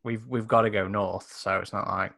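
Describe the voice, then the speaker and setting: A man's voice: on the low side, flat delivery, normal speed, vocal fry, pushed and bright. A man playing a tabletop game, talking casually with the group.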